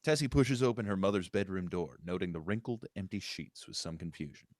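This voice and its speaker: Male table-read narrator, deep voice, dry sound, reads scene directions with occasional slips. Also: fairly quick, no room sound. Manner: stumbles and corrects himself while reading. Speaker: narrator reading stage directions.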